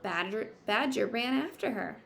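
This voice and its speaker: A woman's voice: fairly high pitch, lively intonation, normal speed, creaky, fairly bright tone. A woman reading a picture book aloud like a teacher, expressively, with simple explanatory asides for children.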